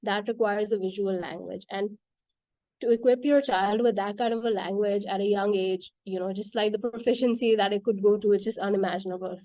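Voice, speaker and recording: Woman, Urdu native speaker, mellow tone, dry recording, earnest casual talk. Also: medium pitch, steady tone, brisk, no echo, low-quality recording. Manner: earnest, with frequent "like" and "right?" fillers.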